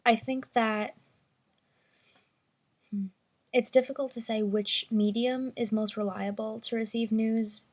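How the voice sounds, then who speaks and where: A woman around twenty answering interview questions thoughtfully, pausing with fillers. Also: on the high side, steady tone, a little mellow. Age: 20s. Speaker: survey respondent.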